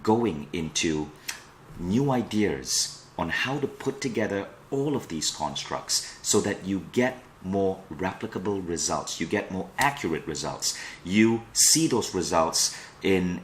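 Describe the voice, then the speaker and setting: A man's voice: low-pitched, a little hiss or hum. A middle-aged man from Malaysia presenting an online self-improvement training as a coach, with an emphatic, promotional delivery. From Kuala Lumpur, Malaysia. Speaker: personal growth coach and trainer.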